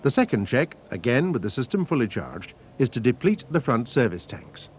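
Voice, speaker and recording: A man reading scripted technical instructions as a training film narrator, in a measured, methodical, formal manner. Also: medium pitch, big pitch swings. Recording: faint noise, archival training film soundtrack.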